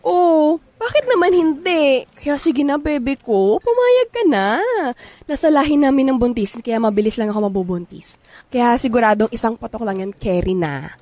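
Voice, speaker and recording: A flirty, pushy Filipina in her thirties played by a woman in a radio comedy drama. Her high-set voice swings widely as she coaxes and teases with playful drama, recorded close and dry. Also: expressive, moderate pace, warm tone, dry recording, close to an everyday voice. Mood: coaxing. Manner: coaxing, playful, dramatic delivery.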